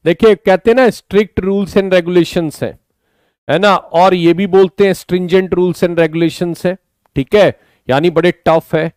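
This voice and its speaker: A man with an Indian accent, slightly hoarse from a sore throat, patiently explaining English vocabulary in an online class, mixing Hindi and English and urging students to answer. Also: high voice, fairly even intonation, measured pace, a little mellow, dry recording, studio quality. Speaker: English teacher.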